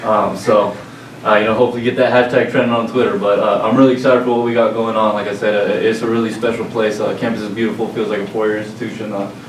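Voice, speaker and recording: Socal coach, quick and flat, chill friendly intro, far off in a roomy noisy space. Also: male voice, lowish voice. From Southern California. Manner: relaxed, friendly, loose chat. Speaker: offensive coordinator. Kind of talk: self-introduction to a room. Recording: roomy, distant, noisy room recording.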